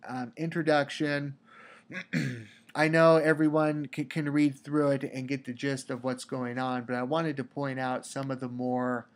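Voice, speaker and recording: A man with a cold, sounding congested, giving a recorded lecture as a teacher, talking deliberately with frequent restarts. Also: mid-range voice. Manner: slow, deliberate, with repeated restarts. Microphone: computer mic for a screen recording.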